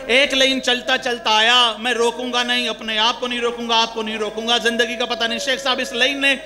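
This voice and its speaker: A man's voice: extremely high pitch, little pitch movement, background noise. A man giving an impassioned mourning sermon, often turning to his listeners with rhetorical questions. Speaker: religious mourning orator.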